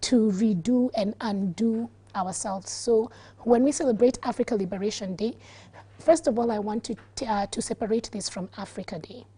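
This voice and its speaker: Husky-voiced Kenyan woman explaining. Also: on the high side, moderate pace, warm tone. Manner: earnest, explanatory, laughs easily. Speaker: guest, activist. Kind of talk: studio talk-show discussion. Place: TV studio.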